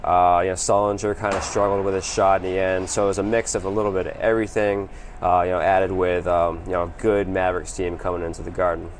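A male sports analyst with a fairly low voice gives his take conversationally. He is measured and hesitant, filling gaps as he thinks, with faint noise behind him. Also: level tone. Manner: hesitant, measured, filler-heavy delivery. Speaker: sports radio co-host and analyst.

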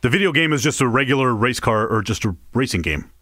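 A man's voice: mid-range voice, lively intonation, quick pace, sharp tone, dry recording. Man, dry close studio sound. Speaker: co-host and film reviewer. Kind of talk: conversational radio movie review and chat. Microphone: close studio microphone.

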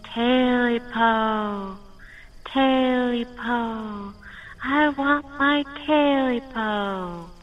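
A woman voicing a small vengeful clawed creature with slow, drawn-out hissing wails. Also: a little high, highs cut off, soft background music, voice pushed up. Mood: wailing, demanding.

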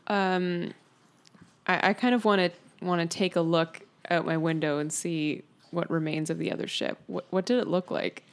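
Woman player, fairly low voice, hesitant and polite. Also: fairly even intonation, moderate pace.

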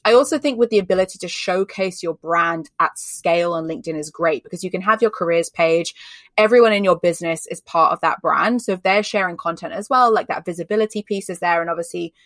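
A woman from Australia with an English accent answers interview questions in an emphatic, chatty way. Her voice sits a little low, and the recording is close and dry. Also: quick pace, fairly bright tone, no room sound. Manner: emphatic, chatty, many "like" fillers. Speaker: guest, personal branding expert. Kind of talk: candid conversational interview answers.

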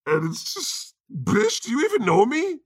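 A man's voice: very high-pitched, huge pitch swings, moderate pace, dry recording, clean studio sound, full character voice. A man playing God on a comedy podcast, talking brashly and with lots of swearing. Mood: mocking. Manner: profane, brash, laughing. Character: God, boastful crude male deity. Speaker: podcast co-host. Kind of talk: improvised comedy podcast banter.

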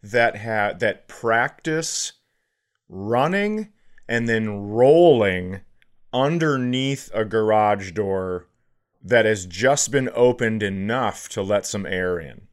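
Animated, sardonic American male podcaster. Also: fairly low pitch, slow pace. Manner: sweary, sardonic. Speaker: podcast co-host. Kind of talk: casual comedic chat.

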